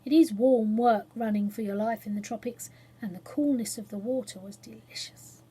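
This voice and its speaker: A woman reading a children's story aloud as an expressive storyteller. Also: a little high, moderate pace, slight background noise.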